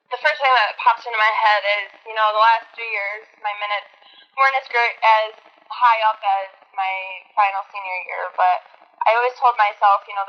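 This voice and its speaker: A young woman with a high-pitched voice answers interview questions in an earnest, chatty way, full of fillers and restarts. Her voice comes through a slightly dull, lo-fi recording. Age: young adult. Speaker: college basketball player and team captain.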